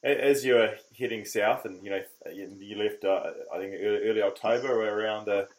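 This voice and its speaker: A man's voice: fairly low pitch, steady tone, a little fast, low-quality recording. A man hosting a sailing podcast, asking questions in a chatty, folksy way. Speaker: podcast host. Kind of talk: casual interview.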